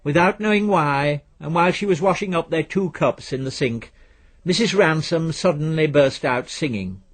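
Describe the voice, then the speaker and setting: A man reading literary fiction as a narrator, measured and wry. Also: fairly high pitch. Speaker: audiobook narrator.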